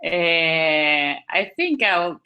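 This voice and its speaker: A woman with a low voice answers interview questions. She pauses often, restarts her sentences and fills the gaps with "uh" and "like". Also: expressive, lo-fi sound. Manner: thoughtful, with frequent fillers and restarts. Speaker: marketing manager, guest.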